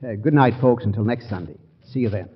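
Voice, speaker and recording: A man's voice: lowish voice, quick pace, over loud music. A man hosting a 1940s radio show, wrapping up the episode and announcing next week's stars in a genial, scripted way. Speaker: program host. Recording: muffled 1940s radio broadcast.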